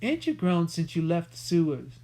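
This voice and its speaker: A garrulous old rat catcher with a high, strained voice, shouting because he can barely hear, as a storyteller puts him on. His pitch leaps all over the place and his voice has a gravelly edge. He comes from a spoken-word children's story. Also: sing-song, moderate pace. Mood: hearty, half-deaf. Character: old deaf male rat catcher, friendly, chatty.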